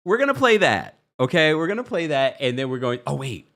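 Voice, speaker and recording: A man with a deep voice hosts a casual celebrity news show. He talks in a chatty, animated way and laughs often. His pitch swings widely from low to high. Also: brisk. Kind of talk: casual commentary livestream.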